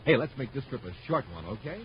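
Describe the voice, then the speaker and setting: A man's voice: medium pitch, sing-song, fast, lightly acted. A man acting as a hearty, emphatic American announcer in 1950s radio style, on an old recording. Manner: emphatic, enthusiastic. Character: hearty grown-up male pitchman for boys' space toys. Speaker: announcer and commercial pitchman. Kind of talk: 1950s radio announcing, scripted sales pitch and narration. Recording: muffled, noisy vintage radio recording.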